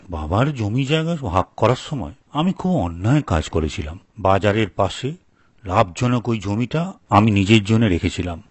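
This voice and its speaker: A man playing a grudging, proud elder brother from a village in a dramatic, expressive folk-tale performance, with a husky voice. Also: on the low side, dry recording.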